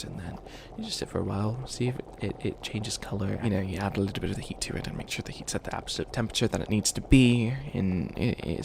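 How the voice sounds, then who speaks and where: A man's voice: on the low side, vocal fry, noisy background. A man voicing an ancient bunny witch, a failed villain who is flustered and kindly, rambling and stammering through a comedic ASMR roleplay. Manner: rambling, stammering, apologetic, trails off. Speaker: mentor to a new apprentice.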